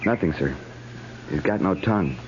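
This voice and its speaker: An actor playing a dutiful, earnest junior cavalry officer in a 1950s radio western, formal and deferential. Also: male voice, low-pitched, expressive, moderate pace. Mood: sober, shaken. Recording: muffled, hissy 1950s radio recording.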